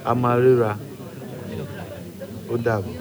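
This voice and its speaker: Actor as a slow-spoken guest, bustling background. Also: male voice, mid-range voice, a crowd in the background, natural voice. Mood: polite. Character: polite male hotel guest making an overseas call. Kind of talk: multilingual radio play.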